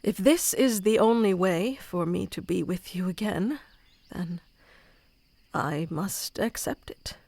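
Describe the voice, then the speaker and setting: A woman's voice: medium pitch, slow pace, vocal fry, no room sound. A woman voicing an obsessive, clingy and powerful female kitsune familiar in an intimate, theatrical ASMR roleplay. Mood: crushed, cold. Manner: intimate, theatrical, swinging between hushed and pleading.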